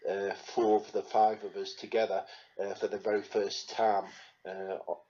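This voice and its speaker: A man with a lowish voice chats loosely through a live stream, pausing often on ums and uhs. The audio is dull and lo-fi, like a home web stream. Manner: relaxed, chatty, many fillers. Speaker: spirit medium hosting a live Q&A. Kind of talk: casual live-stream chat.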